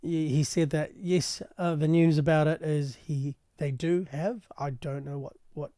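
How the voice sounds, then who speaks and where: A man translating and explaining a news clip in a casual, halting way with many pauses. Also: fairly high pitch, fairly even intonation, warm tone, no room sound, clean studio sound. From New Zealand. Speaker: translator and commentator.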